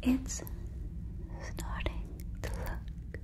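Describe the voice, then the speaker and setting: A woman whispering close to a fluffy microphone in a breathy ASMR roleplay as a gentle, earnest alien, repeating words softly and deliberately. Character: gentle, earnest female alien fussing over a visitor. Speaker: ASMR performer.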